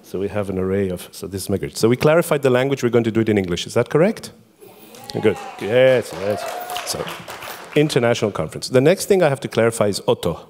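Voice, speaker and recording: A man's voice: on the low side, expressive, fast, darkish tone, slight background noise. A middle-aged man whose first language is Hebrew, giving a chatty, self-deprecating conference talk to an audience, full of fillers and restarts. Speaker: conference speaker. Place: conference hall before an audience.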